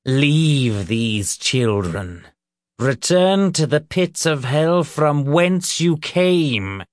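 A man voicing a stern, fanatical older male preacher in an audiobook, declaiming in drawn-out sermon phrases. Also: medium pitch, darkish tone, no room sound, studio quality, close to an everyday voice. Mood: stern commanding.

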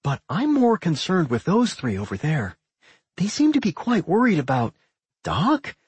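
A narrator voicing an excitable, outspoken human girl in a fantasy audiobook, expressive and dramatic. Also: mid-range voice, a little slow, slightly rough, no room sound, pitched above the performer's own voice, heavily acted. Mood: uneasy.

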